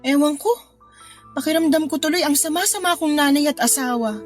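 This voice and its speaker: A woman's voice: high voice, fairly quick, faint music behind, lightly acted. An actress playing a hurt, self-doubting housewife and mother in a radio drama, with a plaintive way of talking. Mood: hurt, guilty. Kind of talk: Tagalog radio drama acting.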